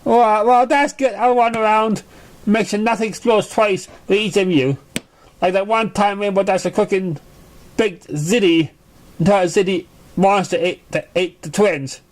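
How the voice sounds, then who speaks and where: A man's voice: high voice, fairly even intonation, moderate pace, pitched above the performer's own voice, lightly acted. A man voicing a bubbly, scatterbrained young female cartoon pony in a breezy, rambling, chirpy manner. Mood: cheerful, rambling.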